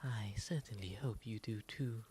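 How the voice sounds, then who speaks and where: A man voicing a gentle, courteous owl demon gentleman in an ASMR roleplay, breathy and often whispering, with polite, formal, soothing phrasing. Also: fairly low pitch, unhurried, natural voice. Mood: serene, soothing. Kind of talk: ASMR roleplay, intimate slow delivery. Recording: faint steady background ambience noise.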